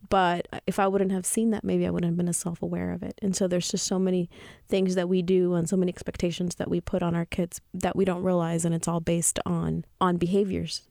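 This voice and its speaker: A woman's voice: fairly low pitch, gravelly, warm tone, no echo, studio quality. A woman from the Dominican Republic, a parenting expert on a podcast, explaining earnestly with some restarts. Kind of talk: podcast discussion.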